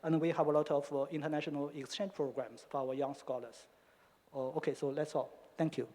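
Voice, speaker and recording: A man on a conference panel, a professor, speaks haltingly and fills pauses with many hesitations. His voice sits fairly high. The sound carries a long hall reverb. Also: fairly even intonation, mellow. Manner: hesitant, frequent fillers.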